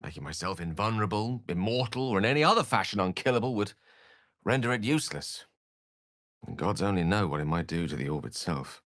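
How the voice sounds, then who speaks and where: A man's voice: on the low side, animated, a little fast, a bit bright, no echo, clean studio sound. An actor voicing an eloquent, wry, bookish male wizard companion in a video game, with a theatrical, erudite delivery. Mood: reflective, eloquent. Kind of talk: video game character voice acting.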